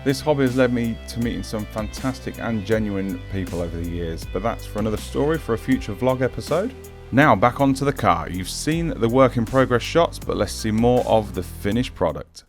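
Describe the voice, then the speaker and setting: A man's voice: fairly low pitch, animated, brisk. A man giving a scripted voice-over as the host of a hobby showcase. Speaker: host of a scale-model showcase series. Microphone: handheld audio recorder. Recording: music under the voice. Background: guitar music.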